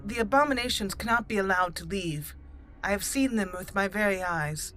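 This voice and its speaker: Woman, bright voice, roleplay gaming commentary, halting and thoughtful, formal wording, soft music behind. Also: medium pitch. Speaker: gaming channel host, roleplaying player. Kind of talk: roleplay game commentary, improvised in character. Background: game music.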